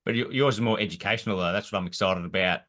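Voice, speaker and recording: A man hosting a podcast interview, with a deep, fairly monotone voice. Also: very fast. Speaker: podcast host. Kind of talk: casual interview.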